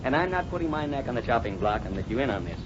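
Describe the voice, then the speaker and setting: Brash wisecracking American newshound male actor, rapid slangy delivery, vintage radio serial, dull noisy recording. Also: mid-range voice, big pitch swings, close to an everyday voice. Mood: bossy, teasing. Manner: slangy, cocky. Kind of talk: vintage radio drama acting. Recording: muffled old radio recording, faint noise.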